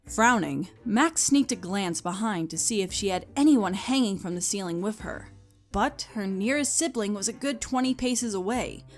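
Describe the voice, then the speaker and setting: A woman reading scripted story narration as the narrator. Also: medium pitch. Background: music.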